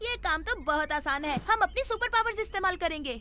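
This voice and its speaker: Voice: very high-pitched, expressive, a little fast, pushed and bright, slight background noise, full character voice. An actor voicing a playful, confident little boy rabbit who is eager and a bit bossy, in a cartoon. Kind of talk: Hindi cartoon dub acting. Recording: music behind the voice.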